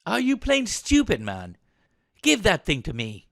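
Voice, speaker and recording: A man acting as a well-bred young British army man in a wartime radio play. His upper-class English speech is clipped and superior, loaded with sarcasm. The voice sits at a medium pitch, recorded very dry and close. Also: expressive, normal speed, no room sound, lightly acted. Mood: exasperated. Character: posh, well-bred, injured young British soldier, haughty. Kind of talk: audio drama acting.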